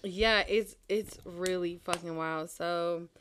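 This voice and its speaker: A young woman guest telling her life story in a relaxed, casual way, swearing now and then. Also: on the low side, slow, a loud crowd. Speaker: podcast guest. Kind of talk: casual chat interview.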